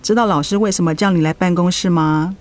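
A woman playing a caring high school homeroom teacher in a radio drama, patient and coaxing, with a Taiwanese Mandarin accent. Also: a little fast, close to an everyday voice. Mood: gentle concern. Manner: gentle, coaxing.

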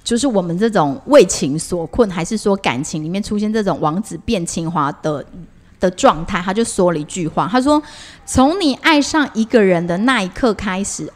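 A woman's voice: medium pitch, lively intonation, moderate pace. A woman with a Taiwanese Mandarin accent in a casual podcast chat, telling stories expressively as co-host.

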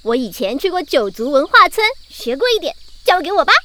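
High, bright, plucky schoolgirl. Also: sing-song, fairly quick, full character voice. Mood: confident. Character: plucky, confident schoolgirl, cheeky and decisive. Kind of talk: children's audio drama acting.

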